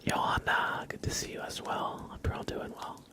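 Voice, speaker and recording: A man hosting a casual ASMR livestream, chatting in a soft, breathy, hushed whisper with an unhurried, rambling delivery.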